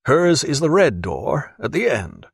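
A man voicing a genial, self-assured wealthy gentleman in an audiobook, urbane, expansive and courteous. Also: a little high, very animated, a little slow, creaky, breathy edge, dry recording, studio quality, heavily acted. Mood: genial, brisk. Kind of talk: audiobook character voice.

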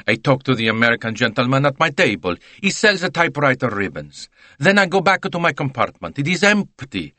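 A man putting on a garrulous salesman, his voice pitched well above the reader's own and bouncing with excitable chatter. He speaks, full of broken phrases and scorn, in a dry, close recording. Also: a little fast, few pauses, no room sound. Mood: chatty. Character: chatty Italian male salesman, talkative, scornful.

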